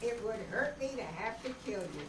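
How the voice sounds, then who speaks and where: A woman's voice: mid-range voice, animated, normal speed, natural voice. A woman playing a polite, lovesick gunman with folksy phrasing in an old-time radio drama. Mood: polite menace. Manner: drawling folksy grammar, courteous while threatening. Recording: roomy, noisy, distant microphone stage recording.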